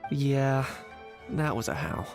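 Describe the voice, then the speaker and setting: A man's voice: medium pitch, sing-song, slow pace, loud music behind the voice. A man playing a cool, laconic young adventurer in a video game, speaking in a matter-of-fact way. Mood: dry, composed. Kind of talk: video game character acting.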